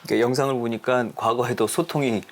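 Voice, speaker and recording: A woman's voice: extremely low pitch, fairly even intonation, fast, faint music behind. A woman hosting a broadcast talk show, playfully quizzing her guests. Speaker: host.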